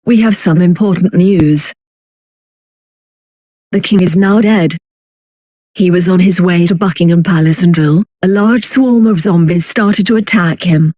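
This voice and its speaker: Low-voiced woman reading emergency announcements. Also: warm tone, no room sound. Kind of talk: scripted emergency announcement narration.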